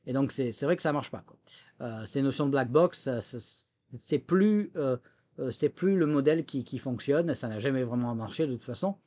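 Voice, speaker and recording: A man's voice: mid-range voice, expressive, moderate pace, darkish tone. A man from France with a slight American tinge to his French accent, giving explanatory interview answers and often repeating words as he searches for the next. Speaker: technology entrepreneur and former government official, interview guest.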